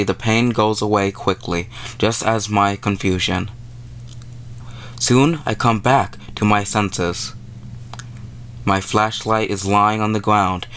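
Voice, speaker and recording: A man's voice: on the low side, a bit bright, a little hiss or hum. A man hosting a gaming video, reading visual novel text aloud and adding wry, informal commentary.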